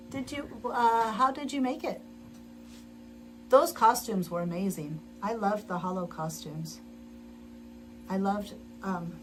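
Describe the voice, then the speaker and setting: A woman's voice: medium pitch, background noise. A middle-aged woman chatting casually as she sews on a livestream, rambling with frequent restarts and laughs, in her sewing room at home. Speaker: livestream host. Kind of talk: casual chat while sewing.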